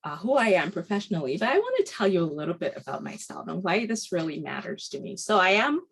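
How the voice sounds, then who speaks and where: Low, gravelly woman lecturing. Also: big pitch swings, quick pace. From Barbados. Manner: earnest, with frequent ums and restarts. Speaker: guest lecturer. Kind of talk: presenting a webinar lecture. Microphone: video call.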